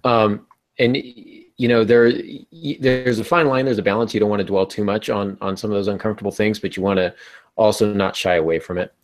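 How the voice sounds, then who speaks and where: Middle aged guy with a low voice, chatting informally on a podcast video call. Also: fairly even intonation, brisk. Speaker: podcast host. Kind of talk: casual panel discussion on writing. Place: small home room, indoors. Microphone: earbud headset on a video call.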